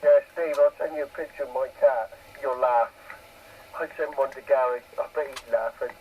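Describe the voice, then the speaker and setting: A man's voice: medium pitch, steady tone, moderate pace, narrow, tinny audio. Man rambling on CB radio. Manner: rambling, repeats phrases. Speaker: CB radio operator. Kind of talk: casual radio chat. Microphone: two-way radio microphone. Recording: CB radio transmission with background noise.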